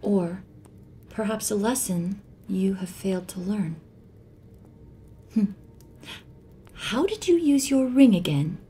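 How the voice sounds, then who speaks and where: A woman's voice: animated, slow pace, long pauses, dark tone. A woman playing a sly, imprisoned moon goddess in an ASMR roleplay drama, with a composed, teasing, sardonic manner. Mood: sly, coaxing. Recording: faint noise, low rumble behind voice.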